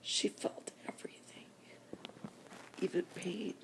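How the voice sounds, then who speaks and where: An older woman crying softly as she talks to her camera in a personal vlog, whispering slowly with deep emotion. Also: low-quality recording. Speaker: vlogger.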